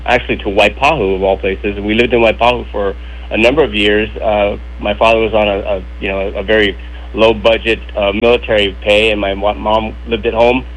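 A man in his sixties calling in as a council candidate, telling his story in an easygoing, halting ramble. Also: on the low side, a little hiss or hum, low-quality recording. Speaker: council candidate, phone guest. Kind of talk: phone-in interview. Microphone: phone line.

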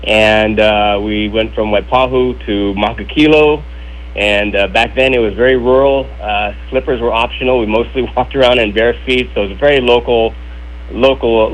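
A man in his sixties calling in as a council candidate, telling his story in an easygoing, halting ramble. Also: on the low side, low-quality recording. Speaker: council candidate, phone guest. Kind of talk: phone-in interview. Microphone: phone line.

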